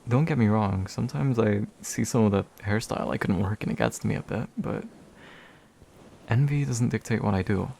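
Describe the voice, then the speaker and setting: A man playing a gentle, slightly bashful demon in a soft, soothing, intimate ASMR comfort roleplay. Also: on the low side, vocal fry, a little hiss or hum. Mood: calm, explanatory. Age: young adult. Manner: soothing, hushed, unhurried, tender.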